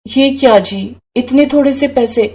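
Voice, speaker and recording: A woman's voice: sits high, a little slow, mellow, slightly rough, pitched above the performer's own voice. A woman voicing a poor, devoted young wife and mother in a moral story, speaking in an earnest, plaintive way. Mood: worried, complaining.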